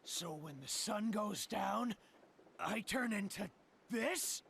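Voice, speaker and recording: A man's voice: sits high, slow, creaky, fairly bright tone, a little hiss or hum, full character voice. A man voicing a young heroic hedgehog turned beast in a video game cutscene. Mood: troubled.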